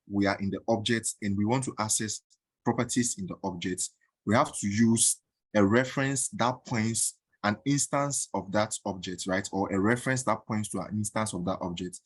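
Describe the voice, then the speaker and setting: A man teaching a coding tutorial in a halting way, with many fillers and frequent "right?" checks. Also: lowish voice, dry recording. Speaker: coding instructor. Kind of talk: screen-recorded coding tutorial.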